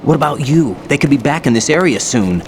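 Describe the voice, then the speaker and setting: A man's voice: mid-range voice, expressive, fairly quick, a little hiss or hum, natural voice. An actor playing an earnest young male rookie operative who asks lots of questions. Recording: game cutscene with music.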